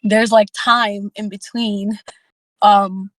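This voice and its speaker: Woman thinking aloud, fairly even tone. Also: medium pitch, takes it slowly, dry recording. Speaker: book club discussion participant and facilitator. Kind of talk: casual reflective group discussion.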